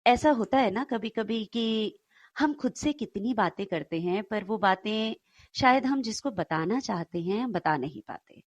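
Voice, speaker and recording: A woman's voice: mid-range voice, lively intonation, a little slow, dry recording, highs cut off. A woman co-hosting a story show, talking in a conversational style.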